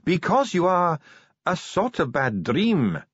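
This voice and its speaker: Storybook dwarf official, male, played by a man narrator: haughty, formal, evasive, raised voice, slightly husky. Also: on the high side, wildly swooping pitch, takes it slowly, no room sound, lo-fi sound, voice pushed up, full character voice. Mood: stiff, disdainful.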